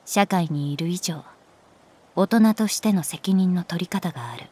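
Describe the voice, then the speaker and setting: A calm adult art teacher in an intimate audio drama. Her voice is on the low side and very unhurried, soft and wistful, with a resigned, protective tenderness. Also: husky. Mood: firm, resigned. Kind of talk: Japanese audio drama acting, intimate dialogue.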